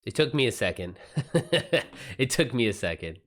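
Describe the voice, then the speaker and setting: A man hosts a relaxed livestream at a medium pitch, talking casually to his chat. His delivery is informal and halting, full of slang and the odd swear word. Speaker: livestream host and writer. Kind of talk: casual livestream chat, reacting to viewer messages.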